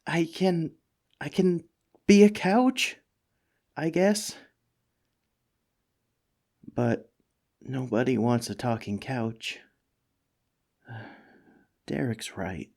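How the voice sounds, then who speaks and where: A man as an anxious, slow-talking couch mimic. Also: on the high side, gravelly, darkish tone, full character voice. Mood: dejected, self-pitying. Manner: hesitant, pleading, stammering, rambling. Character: anxious, self-deprecating mimic monster living as a talking couch. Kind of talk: comedic ASMR roleplay addressed to the listener.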